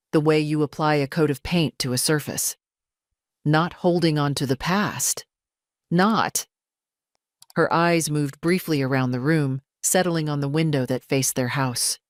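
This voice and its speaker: A woman's voice: low voice, no room sound, studio quality. A woman narrating a scripted audio story in the first person.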